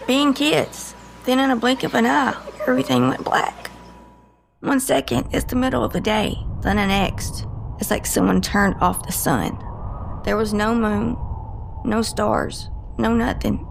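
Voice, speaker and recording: A woman playing a haunted, guarded cryptid agent in an audio drama, telling her story with halting emotion and broken-off sentences. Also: on the high side, creaky, a little hiss or hum, rough recording. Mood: haunted, tense.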